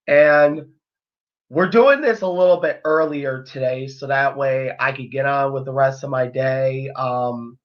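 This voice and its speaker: Guy hosting a live sports podcast, voice a bit worn, slow and rambly with lots of ums, muffled lo-fi audio. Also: medium pitch. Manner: easygoing, rambling, many ums and restarts. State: voice a bit worn after nearly losing it. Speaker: podcast host.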